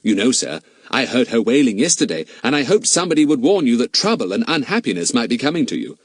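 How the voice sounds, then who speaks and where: A man's voice: medium pitch, very animated, fast, breathy edge, no room sound, studio quality. A man voicing a clever, confident schoolboy sleuth in an audiobook, talkative, polite and mock-innocent.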